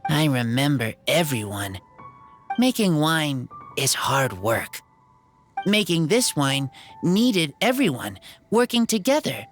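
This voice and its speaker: A woman voicing an earnest teenage boy raised in the wild for a video game, talking in plain, halting short phrases. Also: low-pitched, sing-song, soft background music, heavily acted. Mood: sincere, grateful.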